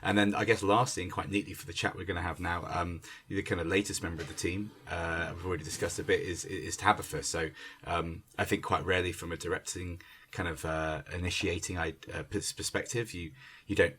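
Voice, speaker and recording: A man's voice: deep voice, steady tone, a little fast, fairly bright tone, slight background noise. A man speaking as a theatre director in an unscripted conversation, hesitant and searching, often repeating and restarting his words. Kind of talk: unscripted conversation introducing a creative team. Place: remote internet call. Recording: online call recording.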